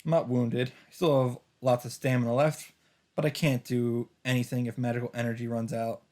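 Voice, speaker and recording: A man's voice: mid-range voice, level tone, a little fast, darkish tone. A man hosting a let's play, reading a visual novel's first-person narration aloud with occasional asides of his own. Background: game music.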